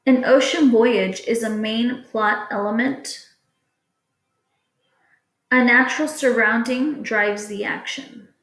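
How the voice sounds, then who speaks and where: A woman teacher reading test questions aloud to students in a deliberate, instructional way. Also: fairly high pitch, steady tone, fairly bright tone. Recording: echoey room.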